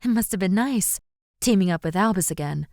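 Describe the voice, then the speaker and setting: Woman acting a gentle, thoughtful young woman, dry sound. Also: medium pitch, expressive, fairly quick, dry recording, lightly acted. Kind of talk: anime-style audio roleplay drama.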